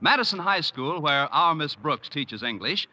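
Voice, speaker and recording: A male announcer introducing a 1950s radio sitcom in a smooth, polished way. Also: fairly high pitch, animated, moderate pace, sharp tone.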